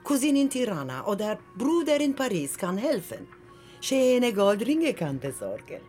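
Slow, swooping marriage fixer. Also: female voice, mid-range voice, very animated, darkish tone, faint music behind. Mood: coaxing, businesslike. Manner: broken grammar, halting, soothing salesmanship. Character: businesslike foreign marriage fixer, coaxing and unflappable. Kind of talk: radio drama acting.

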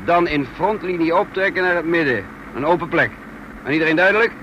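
Army officer in a radio play, clipped and commanding, bit muffled w/ some noise. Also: male voice, fairly high pitch, fairly quick, pushed and bright. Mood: brisk, commanding. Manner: clipped, authoritative orders. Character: brisk commanding male military officer leading a raid. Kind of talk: radio drama acting.

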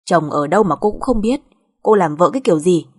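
A woman's voice: fairly low pitch, fairly quick, a little mellow, lightly acted. A woman voicing a stern older mother-in-law who is curt, demanding and sarcastic in an audio drama. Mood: angry, demanding.

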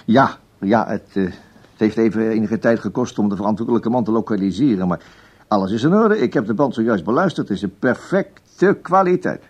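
An actor playing a glib, placating broadcast official making smooth, hesitant excuses on the phone in a radio play. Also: male voice, on the low side, lively intonation, fairly quick. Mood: businesslike reassurance.

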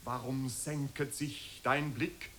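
An actor playing a solemn reciter of scripture in a radio play, with a faint hiss on the recording. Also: male voice, medium pitch, steady tone, slow, a bit bright.

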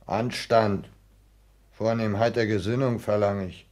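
An actor playing a sickly, touchy lodger full of wounded dignity, querulous and self-pitying, in a 1960s German radio play. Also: male voice, on the low side, steady tone, measured pace, darkish tone, natural voice. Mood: indignant, righteous.

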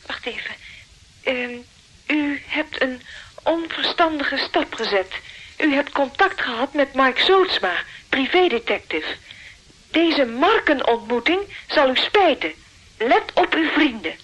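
A woman's voice: sits high, animated, measured pace, fairly bright tone, low-quality recording. An actress playing an anxious, trusting female client in a radio drama, fretful and gushing. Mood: reading, uneasy.

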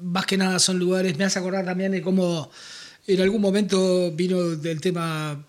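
High-voiced radio co-host. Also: male voice, little pitch movement, moderate pace. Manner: hesitant, searching for words, agreeable. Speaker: radio music show co-host. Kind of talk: casual on-air chat about music.

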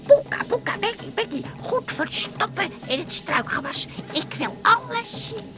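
Een vrouw die in een hoorspel voor kinderen een klein, koppig en nieuwsgierig buitenaards wezentje speelt, met uitgeroepen onzinwoordjes en wat stijve zinnen. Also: female voice, very high voice, sing-song, moderate pace, over music. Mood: eager, delighted. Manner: exclaims repeatedly in nonsense catchwords, slightly stilted phrasing. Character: small eager childlike alien creature, curious and stubborn. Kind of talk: children's radio play acting. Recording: old radio play recording.

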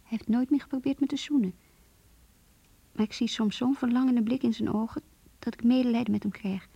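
A lovesick young woman speaks with a breathy, somewhat high voice in a very dry, close sound. She is earnest and intimate, as if confiding a diary in a radio drama. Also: steady tone, brisk, mellow, dry recording, lo-fi sound. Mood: wistful, longing. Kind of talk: Dutch radio play, diary monologue and dialogue.

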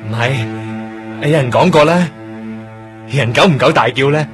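A man's voice: mid-range voice, a little slow, close to an everyday voice. An actor playing an odd, melancholy young man in a radio drama, explaining things earnestly and a little awkwardly in Hong Kong Cantonese. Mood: apologetic. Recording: radio drama with loud music underneath. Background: music.

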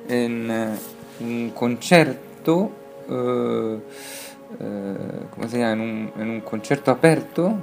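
Slow, hesitant Spanish man on radio, music behind. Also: mid-range voice, gravelly, darkish tone. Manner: hesitant, searching for words. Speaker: radio host. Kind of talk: live radio presenting in halting Italian mixed with Spanish.

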